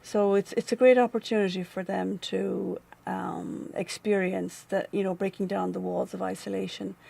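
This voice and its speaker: A middle-aged woman with an Irish accent, answering interview questions about a grief center in a thoughtful, measured way, often pausing with um. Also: medium pitch, level tone, creaky, mellow, breathy edge. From Ireland. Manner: thoughtful, measured, with frequent um and restarts. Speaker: community manager at a grief center.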